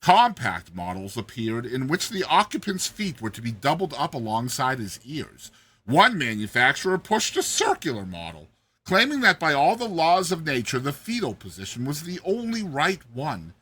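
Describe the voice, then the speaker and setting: A man giving a theatrical, expressive dramatized reading of a satirical short story as its narrator. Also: a little high, moderate pace, breathy edge. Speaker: story narrator, show host.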